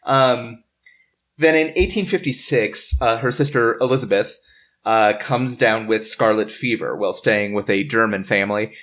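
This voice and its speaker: A man hosting a podcast, telling history from notes in a casual, halting way among the group. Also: on the low side, fairly bright tone. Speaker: podcast host.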